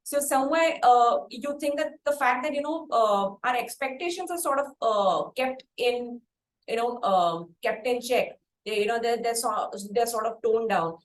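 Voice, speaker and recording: A woman hosting a conversational interview, asking halting, wordy questions. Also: on the high side, lively intonation. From India. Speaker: interview host. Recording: echoey room with light background noise.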